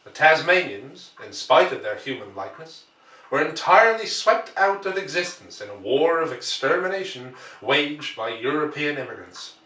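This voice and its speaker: A man reading a classic novel aloud as an audiobook narrator, with deliberate phrasing and dramatic emphasis. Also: on the high side, sharp tone. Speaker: audiobook reader. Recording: roomy, echoey, distant from mic.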